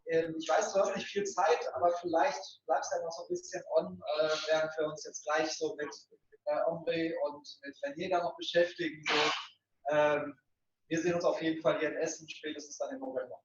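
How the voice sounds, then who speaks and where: A man's voice: on the high side, fairly quick. Casual man hosting, echoey and distant. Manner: casual, hesitant, many fillers, laughs often. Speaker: event host. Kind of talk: moderating an event. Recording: echoey, far from the mic, dull, faint noise.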